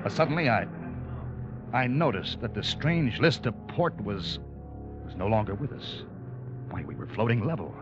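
An actor playing a grave, weary ship's officer in a vintage radio adventure drama, with dramatic, deliberate delivery. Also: male voice, fairly low pitch, big pitch swings. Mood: haunted, weary. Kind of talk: 1940s-50s radio adventure drama acting. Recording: dull vintage radio recording, music behind.

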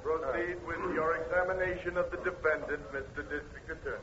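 An actor playing a stern, formal courtroom judge in 1950s radio drama style. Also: male voice, fairly high pitch, steady tone, a little fast, lightly acted. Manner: formal, commanding. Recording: muffled old radio, faint noise.

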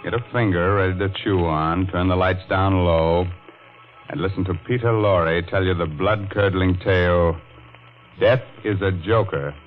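On a dull old radio recording with music behind, a macabre, mocking male host with a lowish voice. He relishes horror in theatrical, tongue-in-cheek 1940s style. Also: unhurried. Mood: sinister. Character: ghoulishly jolly, mocking horror-show host.

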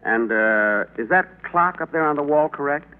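A man's voice: a little high, animated, normal speed, narrow, tinny audio, close to an everyday voice. An actor playing a mild, bumbling male angel with dry, politely smug wit in a 1940s radio play. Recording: scratchy old radio broadcast.

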